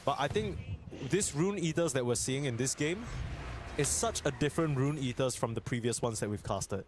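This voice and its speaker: A man's voice: mid-range voice, animated, moderate pace, background noise. A man commentating live on an esports match, moving between conversational analysis and play-by-play, with music behind him.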